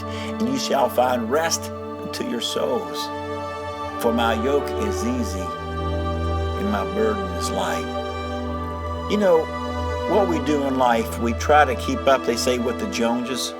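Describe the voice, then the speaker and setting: A man speaking as a storyteller and informal preacher, earnest and folksy, with emphatic pauses. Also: mid-range voice. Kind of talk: dramatized first-person storytelling turning to informal sermon. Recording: music bed under the voice. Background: music.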